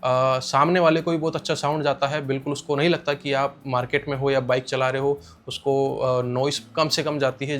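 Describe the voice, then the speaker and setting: Indian male reviewer, slightly raised pitch, casual and explanatory, restating key points. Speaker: product reviewer. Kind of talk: casual spoken product review.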